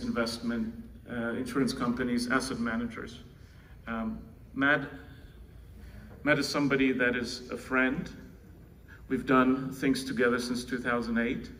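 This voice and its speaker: A man speaks to a conference crowd, chatting through restarts and small asides. His voice is bright and forward. He sounds set back from the mic, with faint room noise behind him. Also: medium pitch, recorded from a distance. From Czech Republic. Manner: conversational, with restarts and asides to the audience. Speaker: keynote presenter introducing his co-founder. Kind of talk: live conference speech.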